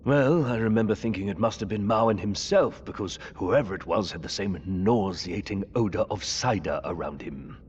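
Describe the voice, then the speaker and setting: A man's voice: fairly low pitch, normal speed, hardly any pauses, close to an everyday voice. An actor playing a prickly, pedantic maester in a video game, haughty and defensive in his speech. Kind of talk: video game cutscene acting. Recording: dull audio with faint ambient noise.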